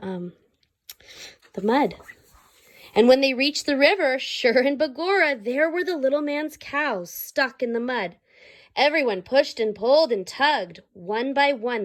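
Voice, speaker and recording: A woman reading a children's story aloud expressively, like a teacher, pausing to explain and ask the young listeners questions. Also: fairly high pitch.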